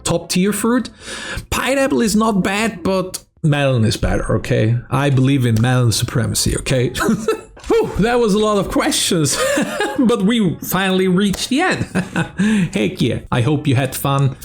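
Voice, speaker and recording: Slow, gravelly young man with German accent. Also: sits high, sing-song. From South Tyrol, Italy. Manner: casual, swears often, laughs between answers. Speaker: streamer answering fan questions. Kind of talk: casual Q&A vlog.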